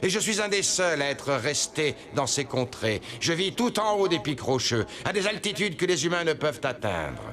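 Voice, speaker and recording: A man playing a calm, dignified giant condor in a cartoon, courteous and assured as he explains things. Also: fairly high pitch, moderate pace, few pauses, a crowd in the background. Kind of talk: cartoon voice acting.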